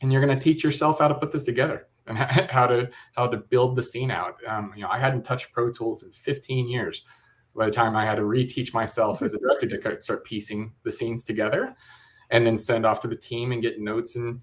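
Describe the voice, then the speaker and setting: Man, medium pitch, director as interview guest, explanatory, informal, thinking aloud. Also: steady tone, brisk.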